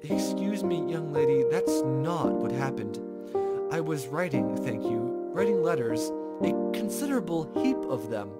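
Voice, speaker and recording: A woman voicing a weary, polite young female caretaker in a visual novel, gently scolding with dry patience. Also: medium pitch, very animated, unhurried, over loud music, voice pushed down. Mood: dry reproof. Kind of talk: visual novel character read aloud.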